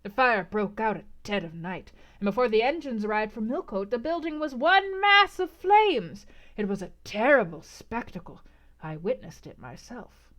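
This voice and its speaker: A woman voicing a respectable middle-aged innkeeper, a former butler who is deferential, excitable and confiding, in a storybook reading. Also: a little high, normal speed. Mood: excited, aghast.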